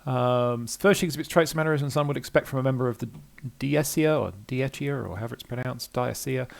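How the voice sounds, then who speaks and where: A man's voice: medium pitch, a little fast, creaky. An older man doing gameplay commentary, reading story text aloud with hesitations and small asides.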